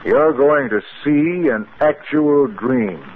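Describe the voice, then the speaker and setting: An actor playing a businesslike research doctor in a 1950s radio drama, explaining things matter-of-factly. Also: male voice, mid-range voice, expressive, takes it slowly. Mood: explanatory. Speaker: psychiatric doctor. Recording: narrow-band old radio recording, faint noise.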